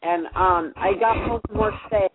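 A man's voice: sits high, normal speed, background noise. A young man co-hosting a casual chat show, rambling anxiously with lots of restarts and fillers. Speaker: podcast co-host. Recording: tinny phone-like sound.

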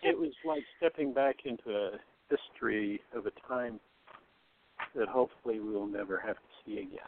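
An older man chatting casually as a guest, with a halting, hesitant way of talking. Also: fairly low pitch, sing-song, vocal fry. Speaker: guest actor. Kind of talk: casual chat. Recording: hissy, noisy phone-like line.

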